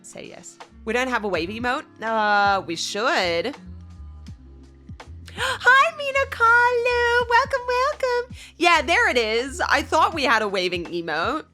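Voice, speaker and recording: A high, bright young woman's voice that leaps around as she talks, bubbly and full of giggles. She greets her live chat one name at a time, close on a loud desk mic. Faint music plays in the background. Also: expressive, slow. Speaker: streamer. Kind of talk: casual chat with live viewers.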